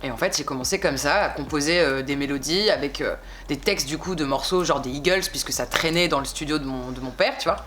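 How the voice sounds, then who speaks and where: A young woman in her twenties from France, a singer chatting casually in an interview, relaxed and slangy, rambling with frequent little asides. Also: low voice, fairly quick, background noise. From France (Bordeaux).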